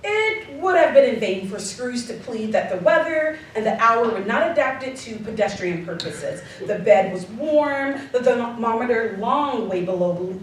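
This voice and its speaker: A woman narrating a classic story for a live stage radio drama, theatrical and emphatic, stressing words deliberately. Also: on the high side. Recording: distant mic, very echoey live hall, slight noise.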